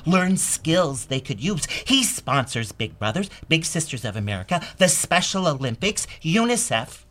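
A man voicing a fiercely loyal reformed reindeer in a forceful, persuasive stage monologue. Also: a little high, pitched above the performer's own voice.

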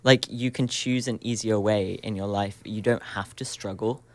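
A man in his twenties, a radio guest, speaking reflectively and searchingly with many pauses and soft laughs. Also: on the low side, fairly even intonation. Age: 20s. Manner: searching and thoughtful, many ums, laughs often. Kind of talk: reflective radio interview talk.

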